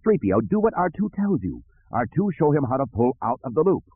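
A man's voice: medium pitch, big pitch swings, a little fast, slightly rough, no echo, narrow, tinny audio, pitched above the performer's own voice. A man voicing an earnest, commanding young space hero with an urgent, direct manner in an audiobook.

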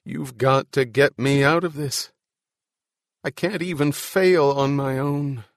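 A man's voice: mid-range voice, lively intonation, moderate pace, fairly bright tone, no echo, studio quality, lightly acted. A man voicing an anxious, defeated man in a storybook reading who longs to escape his life. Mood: desperate.

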